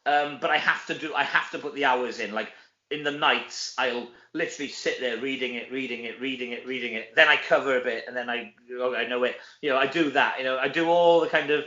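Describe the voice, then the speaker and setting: A Welsh man, an actor being interviewed, chatting casually in an animated, self-deprecating, joking way. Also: mid-range voice, fairly quick. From Wales. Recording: dull, echoey room sound.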